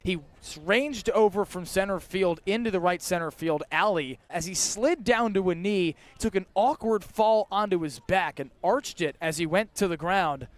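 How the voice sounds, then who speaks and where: High-pitched man calling baseball play-by-play. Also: no echo. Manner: punchy clipped calls that build to drawn-out shouts on big plays. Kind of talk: live radio sports play-by-play commentary.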